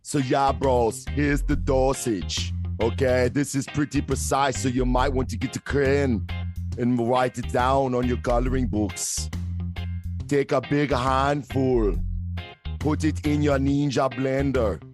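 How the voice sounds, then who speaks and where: A man's voice: medium pitch, fairly even intonation, slow pace, over music, close to an everyday voice. A man playing a cocky, dim gym bro on a parody fitness podcast, talking in condescending bro-speak. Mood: cocky, instructive. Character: dim, cocky gym bro giving crude supplement advice.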